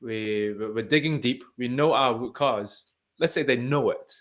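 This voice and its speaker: Thoughtful podcast host, halting questions. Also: male voice, medium pitch, a bit bright. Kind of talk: interview questions.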